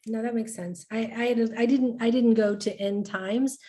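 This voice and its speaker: Woman host, chatty and animated, quick restarts. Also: fairly high pitch. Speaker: webinar host. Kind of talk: casual webinar chat.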